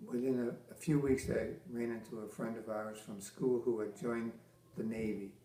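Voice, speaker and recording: An older man from Massachusetts, a military veteran, answering interview questions with halting restarts, many fillers and dry teasing humor. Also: mid-range voice, steady tone, dark tone, a little hiss or hum. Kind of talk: oral history interview. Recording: echoey room.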